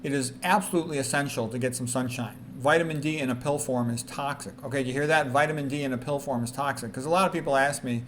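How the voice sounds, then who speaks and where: A man with a medium-pitched voice presents informally, reading quotations aloud and following them with his own commentary. He is emphatic and tends to repeat key statements. There is some noise behind the voice. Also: fast, few pauses, a bit bright. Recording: noticeable background noise.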